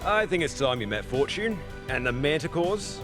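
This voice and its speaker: Lively, roguish space captain. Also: male voice, a little high, normal speed, over music. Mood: glib, teasing. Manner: glib, flirtatious, wry. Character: roguish, flippant male space captain and rebel. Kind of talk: video game voice acting.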